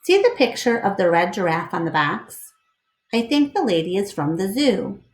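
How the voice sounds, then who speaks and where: A woman voicing a gentle, thoughtful, protective big male gorilla in a storybook read-aloud. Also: mid-range voice, sing-song, moderate pace, reverberant. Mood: earnest explaining.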